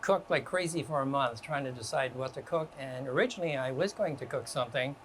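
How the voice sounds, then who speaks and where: A man from Canada working as a guest chef, talking relaxed and step by step through a home cooking demonstration in a kitchen. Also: mid-range voice, brisk, slight background noise.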